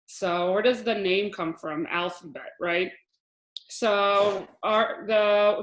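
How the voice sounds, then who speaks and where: A woman in her thirties from Israel, a Hebrew teacher giving a chatty online lesson over a video call, explaining with frequent restarts and small laughs. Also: mid-range voice. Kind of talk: online lesson with shared slides. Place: room at home, video call. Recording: echoey room on a video call.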